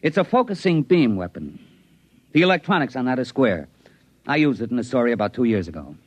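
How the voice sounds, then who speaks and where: An actor playing a cocky American army private with a sardonic, slangy manner in 1950s radio drama style. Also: male voice, mid-range voice, sing-song, brisk, no room sound. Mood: eager, explaining. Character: cocky wisecracking army private, science-fiction writer turned schemer. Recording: muffled 1950s radio recording, faint noise.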